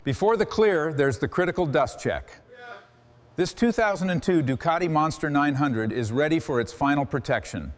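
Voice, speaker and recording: A man's voice: on the high side, expressive, normal speed, a little hiss or hum. A man delivering scripted documentary-style narration in short, dramatic statements.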